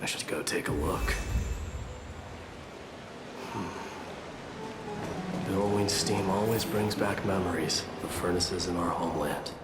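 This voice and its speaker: Over loud music, a deep-toned brooding crown prince of a warrior nation speaks with formal gravity. His game dialogue is measured, proud and honorable. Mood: somber. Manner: solemn, formal, measured wording. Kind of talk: dramatic video game voice acting. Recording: echoing voice under loud music.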